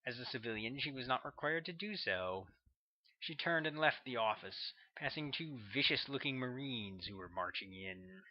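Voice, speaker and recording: A man reads his own novel aloud in a steady, measured way, sounding like an audiobook narrator. His voice sits at an ordinary middle pitch for a man. The recording is somewhat dull and lo-fi. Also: dry recording.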